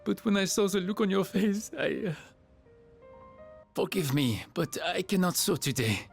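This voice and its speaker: A man's voice: high-pitched, sing-song, moderate pace, gravelly, husky, soft background music, exaggerated voice. A man voicing an earnest, sensitive foreign student in a game, with hesitant, formal, emotional delivery. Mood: sad, hesitant. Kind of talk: game voice acting.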